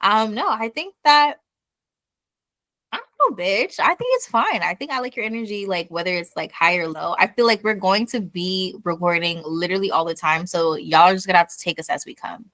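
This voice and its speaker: An easygoing young woman co-hosts a casual podcast, laughing often and swearing freely. Her pitch sits in the middle range. The recording is dry and close. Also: animated, brisk, sharp tone, no room sound. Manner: laughs easily, casual and profane. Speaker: podcast co-host. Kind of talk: casual chat.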